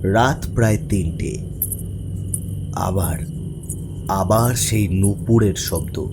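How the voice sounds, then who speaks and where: A man acts as the haunted protagonist narrating a horror cartoon. His voice is fairly deep and very unhurried, with suspenseful pauses and a grave first-person telling. Music sits clearly behind him. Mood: tense, grave. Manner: measured, suspenseful, with dramatic pauses. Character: haunted male field worker narrating his ghostly encounter. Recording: music under the voice.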